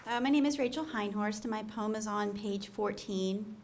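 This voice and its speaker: A young woman reading her own poem aloud at a podium, measured and soft, with hesitant fillers when she introduces it. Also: medium pitch, a faint crowd, slight background noise. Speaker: student poet reading her work. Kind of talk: poetry reading. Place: small lecture room with audience. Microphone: podium gooseneck mic.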